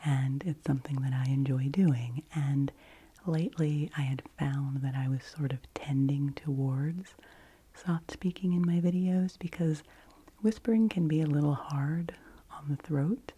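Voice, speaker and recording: A woman hosting an ASMR channel, rambling in a deep, low, soft-spoken voice that keeps dropping into whispers, close to the microphone. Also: measured pace, a little hiss or hum. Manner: alternates soft low speaking with breathy whispers.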